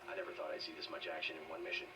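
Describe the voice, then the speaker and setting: A very deep-voiced, deadpan government agent from a video game. He is terse and stoic and talks very fast, with music behind him. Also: far from the mic. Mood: flat, matter-of-fact. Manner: deadpan, clipped.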